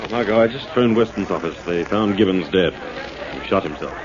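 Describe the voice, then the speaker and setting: A man's voice: sits low, expressive, brisk, a crowd in the background. A man acting a composed, suave man-about-town sleuth in a 1940s radio drama, with an Australian accent. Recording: dull, faintly noisy old radio recording.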